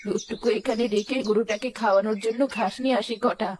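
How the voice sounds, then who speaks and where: Woman as quick, highish old village woman. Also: fairly even intonation, a little hiss or hum, close to an everyday voice. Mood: puzzled. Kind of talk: Bengali cartoon voice acting.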